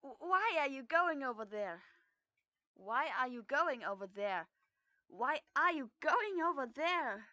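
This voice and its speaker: A young woman with a German accent voicing a confused, blunt young female dragon, doing slow retakes of each line. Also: sits high, lively intonation, sharp tone, no echo, muffled recording, pitched above the performer's own voice, exaggerated voice. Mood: indignant.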